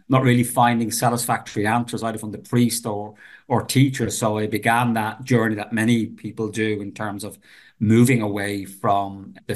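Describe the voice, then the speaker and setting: Earnest Dublin-accented man. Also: lowish voice. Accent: Dublin Irish. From Ireland. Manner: earnest, repeats words as he starts sentences. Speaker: guest professor. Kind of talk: podcast interview conversation.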